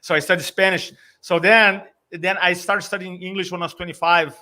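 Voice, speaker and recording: A man in his fifties from Brazil with a Brazilian Portuguese accent, a communication coach telling stories in a lively way and acting out his own reactions. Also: high voice, brisk. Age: 50s. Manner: animated storyteller, acts out his own reactions. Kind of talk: casual interview chat.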